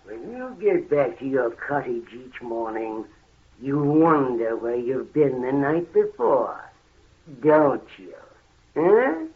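A man's voice: medium pitch, big pitch swings. An actor playing a menacing villain in a 1930s radio mystery, taunting and commanding his victim. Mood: gloating. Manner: slow, taunting, commanding, with sinister chuckles. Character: menacing, gloating villain in a coffin, controlling his sister. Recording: tinny, phone-like old 1937 radio recording.

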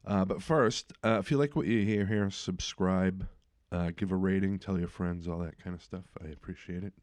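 Middle-aged male podcast host, deep voice, easygoing and rambling. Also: normal speed. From eastern United States. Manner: relaxed, filler-heavy, trails off quietly. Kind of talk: casual interview chat.

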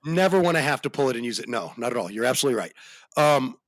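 An American man hosting a casual podcast chat, animated and slangy, with a deep voice that jumps in pitch for emphasis. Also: very fast, no echo, clean studio sound. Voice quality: deep bassy voice. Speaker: podcast host.

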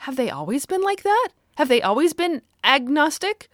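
A woman's voice: high-pitched, sing-song, normal speed, a bit bright, no echo, clean studio sound, pitched above the performer's own voice. A woman voicing a sassy, demanding young girl who asks questions pertly and insistently. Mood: pert, curious.

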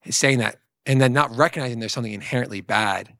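A man's voice: mid-range voice, fast, gravelly, bright, forceful tone, no echo. Emphatic man explaining, dry close sound. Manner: emphatic, explanatory, restates points. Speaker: co-host of a book discussion series.

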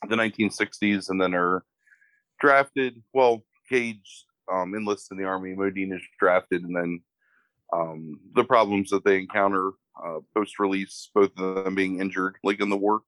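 A young man, congested, describing a movie in a casual, halting way full of fillers. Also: deep voice, fairly bright tone, dry recording, rough recording. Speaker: movie podcast co-host. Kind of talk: casual film review chat.

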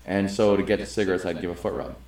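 Middle-aged male co-host, low-ish, earnest and rambling, echoey room. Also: fairly even intonation, quick pace, a little mellow. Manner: rambling and earnest, with frequent restarts. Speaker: podcast co-host. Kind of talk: casual conversation. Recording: roomy, echoey sound.

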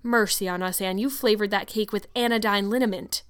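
A woman voicing a stern, practical older female guardian in a storybook, with a brisk, blunt, scolding manner. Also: medium pitch, steady tone, a bit bright, smooth, natural voice. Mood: exasperated. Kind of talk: storybook character voice.

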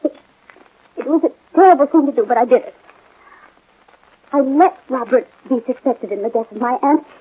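High-voiced, guilt-ridden woman. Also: husky. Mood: distraught, confessing. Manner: halting, emotional, melodramatic. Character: guilt-ridden, vengeful young woman confessing. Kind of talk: 1940s radio crime drama acting. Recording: muffled narrow-band vintage 1940s radio recording.

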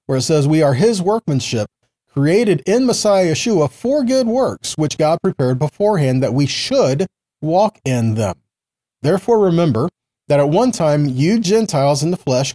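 A man with a fairly high yet mellow voice gives an informal Bible lesson. He moves between reading scripture and explaining it in a didactic manner. He often puts rhetorical questions to the listener and seeks agreement. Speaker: Bible teacher.

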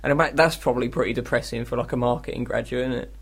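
A man's voice: medium pitch, racing, a little hiss or hum. A British man co-hosting a radio comedy show, chatting casually in a rambling, irreverent, sardonic way. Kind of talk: casual comic chat.

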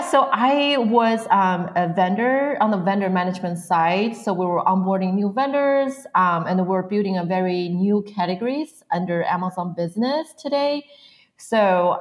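A woman from northern China answers interview questions in English, her voice sitting a little low. She is in her thirties and fills her sentences with frequent "um"s, laughing softly now and then. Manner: frequent "um" fillers, soft laughs. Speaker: guest.

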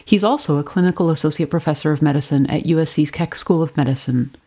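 A woman co-hosting a podcast, reading out the guest's credentials in a clear, measured way. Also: sits low, warm tone. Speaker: podcast co-host.

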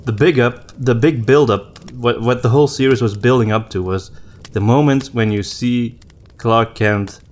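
A man co-hosting a podcast in casual conversation, with hesitant, halting phrasing and repeated words. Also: medium pitch. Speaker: podcast co-host and story writer.

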